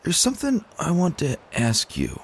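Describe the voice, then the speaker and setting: A good-natured, lovestruck stallion pegasus, acted by a man, shy and a little wry. He speaks hesitantly with an expressive swing in pitch. It is a storybook dramatic reading set over music. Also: normal speed, gravelly, slightly rough, heavily acted. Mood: nervous. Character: bashful good-natured male pegasus pony in love. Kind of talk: dramatic reading of fan fiction.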